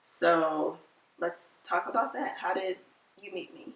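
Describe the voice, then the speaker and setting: A woman's voice: lowish voice, a little slow, vocal fry, fairly bright tone. Chatty twenties woman vlogging, laughs a lot, echoey room, mic a bit far. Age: 20s. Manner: chatty, giggly, teasing. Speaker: vlog co-host. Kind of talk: casual couple chat. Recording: roomy, mic set back.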